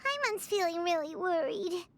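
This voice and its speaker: Squeaky, excitable little fairy companion. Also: female voice, normal speed. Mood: worried. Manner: refers to self in third person, squeaky exclamations. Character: tiny high-voiced floating fairy companion, childlike, anxious, excitable. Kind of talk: video game voice acting.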